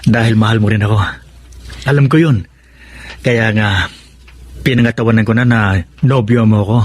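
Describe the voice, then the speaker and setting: Radio drama role: man as teasing, devoted suitor, husky voice, dry close sound. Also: fairly low pitch, fairly even intonation, normal speed, no echo, natural voice. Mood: teasing, confident.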